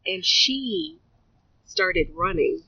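A woman's voice: lowish voice, expressive, extremely slow, pushed and bright, breathy edge, no echo, lo-fi sound. A woman guest whose first language is Spanish, chatting in a casual interview and often laughing at herself. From United States. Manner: chatty, laughing, self-deprecating.

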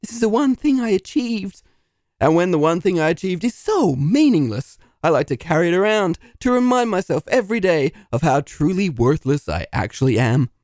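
A melodramatic, self-pitying failed dungeon master, played by a man in a comedy audio drama. His slightly high voice swings in pitch, and the recording is close and dry. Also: sing-song, normal speed, a little mellow, no room sound. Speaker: narrator.

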